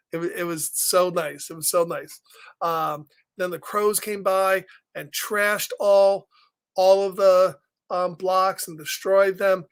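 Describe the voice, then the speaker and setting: A man hosting a story time, reading a picture book aloud gently with chatty asides and questions, sometimes dropping almost to a whisper. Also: sits high. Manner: gentle, conversational, often asks questions and drops into whispers. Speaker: story time reader and host.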